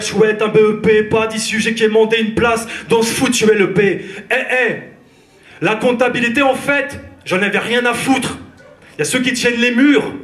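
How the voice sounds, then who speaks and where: A man from France delivers slam poetry live on a theatre stage, his rhythmic lines pressed out with intensity. His voice sits fairly high for a man. The sound carries the roomy echo of the hall. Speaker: slam poet.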